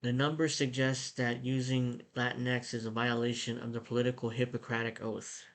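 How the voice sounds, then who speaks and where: A man's voice: medium pitch, little pitch movement, normal speed. A man from the United States giving blunt, irritated commentary while reading an article aloud at his computer, with frequent restarts. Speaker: political commentator.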